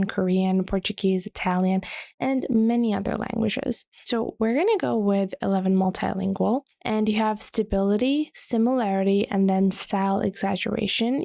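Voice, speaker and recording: A woman narrates a step-by-step software tutorial in a relaxed, mellow voice. Her speech often settles into a gravelly creak. The sound is very dry, with hardly any room around it. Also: mid-range voice, no echo. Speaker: tutorial presenter.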